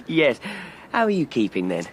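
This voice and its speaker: A man playing a courteous, formal, slightly halting official in a radio drama. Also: on the high side, wildly swooping pitch, fairly bright tone, slight background noise, exaggerated voice. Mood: polite, awkward.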